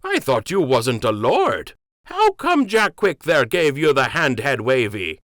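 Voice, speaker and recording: A man's voice: medium pitch, huge pitch swings, measured pace, fairly bright tone, dry recording, clean studio sound, voice pushed up. A man voicing a rambling, cheerful rabbit dock worker who talks in a folksy, ungrammatical country dialect. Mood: teasing.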